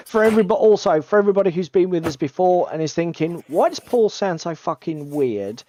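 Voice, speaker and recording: A man with a strained, gravelly voice, co-hosting a casual hobby chat and poking fun at himself. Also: high voice, lively intonation, fairly quick, warm tone, a little hiss or hum. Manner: chatty, self-deprecating, swears casually. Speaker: co-host of a tabletop hobby stream.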